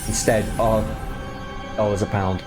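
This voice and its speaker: A man's voice: lowish voice, flat delivery, unhurried, loud music behind the voice. A British man giving casual reaction commentary as a streamer.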